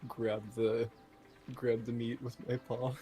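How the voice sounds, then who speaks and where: A man's voice: medium pitch, flat delivery, slow pace, mellow, soft background music, poor audio. A man casually describing his character's actions as a player in a tabletop roleplay game.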